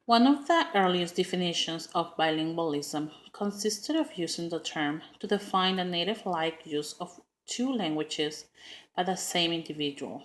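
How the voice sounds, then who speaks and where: A woman's voice: on the low side, normal speed. A middle-aged woman with a Spanish accent giving a prepared class speech, earnest and with frequent ums and uhs.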